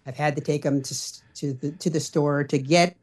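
A man's voice: fairly high pitch, steady tone, moderate pace, a little mellow. A middle-aged man answering as a podcast guest in an earnest, explanatory, conversational way. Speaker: podcast guest, parenting blogger.

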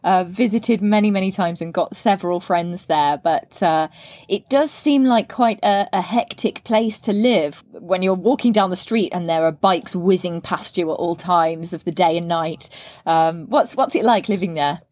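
A woman's voice: on the low side, moderate pace, darkish tone, no echo. A woman hosting a podcast as an English teacher. She reads a scripted intro and then chats casually and enthusiastically with her guest, laughing often. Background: guitar music under the intro.

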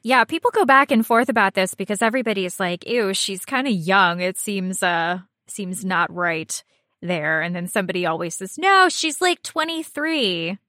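A woman's voice: medium pitch, animated, moderate pace, bright, forceful tone, no room sound, studio quality. A woman co-hosting a podcast, chatting casually about game characters in a wry, blunt, sweary manner. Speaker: podcast co-host.

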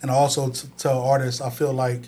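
Medium-pitched man co-hosting. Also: flat delivery, fairly quick, dark tone, a little hiss or hum. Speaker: podcast co-host. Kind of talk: casual conversational advice.

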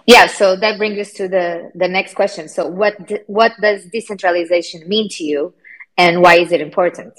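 A woman's voice: on the low side, steady tone, normal speed, a bit bright, low-quality recording. A woman filling in as host of an informal community session, friendly and slightly hesitant, with many ums. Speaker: stand-in host, marketing head. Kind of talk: informal live session hosting.

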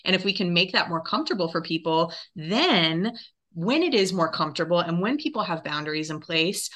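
A woman's voice: on the low side, expressive, brisk, fairly bright tone. A woman speaking conversationally as an interview guest, a theatre director explaining her work as she thinks aloud.

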